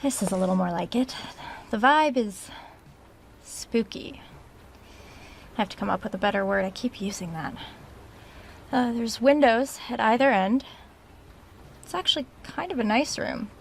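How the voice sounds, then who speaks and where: Actress as uneasy woman diarist, faint creaky voice. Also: medium pitch, a little hiss or hum, close to an everyday voice. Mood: musing, intrigued, then musing. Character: uneasy woman researcher recording an audio diary in a haunted house. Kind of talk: horror audio drama, diary-style narration and reading aloud.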